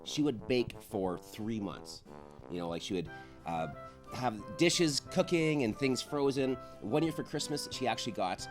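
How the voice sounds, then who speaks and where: A man's voice: medium pitch, animated, measured pace, over music, low-quality recording. A man telling personal stories in a casual, chatty style on a panel show. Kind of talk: casual chat, personal storytelling.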